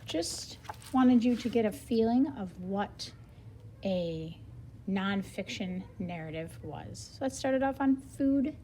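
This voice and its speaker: Lady reading a kids book out loud like a teacher, really expressive pitch, warm relaxed voice, bit of noise behind. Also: slow. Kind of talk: read-aloud of nonfiction picture book with brief explanatory asides.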